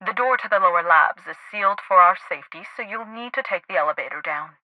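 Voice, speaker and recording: An actress playing a pompous, high-strung head scientist in a video game, speaking formally with precise diction. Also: female voice, expressive, fast talker, dry recording, narrow, tinny audio, lightly acted. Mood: measured, condescending. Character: haughty, high-strung woman scientist heading a research lab. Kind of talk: video game character dialogue.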